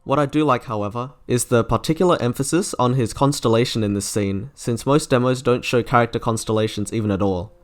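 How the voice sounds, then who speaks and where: A man's voice: mid-range voice, a little fast, few pauses. A man narrating a scripted video game review as an online reviewer. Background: faint music.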